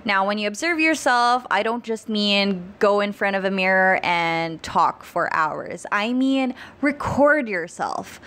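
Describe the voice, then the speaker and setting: A woman's voice: medium pitch, fairly bright tone. Upbeat chatty young woman vlogger. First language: Tagalog. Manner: upbeat, chatty, laughs between phrases. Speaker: YouTuber giving English-speaking tips. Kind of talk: casual vlog talk to camera. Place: small indoor room, plain wall. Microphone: handheld mic held close.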